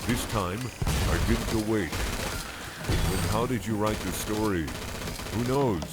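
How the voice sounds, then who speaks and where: Low-voiced man reading mock-solemn horror stories. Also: unhurried, very noisy. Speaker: YouTuber, story reader. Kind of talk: dramatic amateur horror story narration with mock-serious asides. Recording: loud music and gunfire over voice. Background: loud music, video game gunfire.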